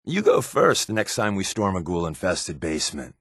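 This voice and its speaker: A man's voice: low voice, big pitch swings, moderate pace, fairly bright tone, slightly rough, dry recording, studio quality, exaggerated voice. A man playing a wry, laid-back spy companion in a post-apocalyptic video game, given to sardonic asides. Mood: wry, casual.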